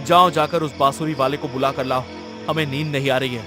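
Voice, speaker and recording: A man's voice: mid-range voice, steady tone, a little fast, music in the background, lightly acted. A man voicing a stern, restless king in a cartoon story, with a commanding, haughty way of talking.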